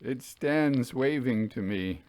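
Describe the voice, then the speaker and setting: A man voicing a troubled, haunted railway signal-man, earnest and deferential, in a halting, deliberate dramatic ghost-story reading. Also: mid-range voice, animated, darkish tone, dry recording. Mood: anguished.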